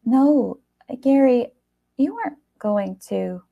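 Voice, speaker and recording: A woman's voice: a little high, lively intonation, slow pace, vocal fry, narrow, tinny audio, voice pushed up, exaggerated voice. A woman voicing an evasive groom, smug yet hesitant and placating when cornered. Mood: pleading.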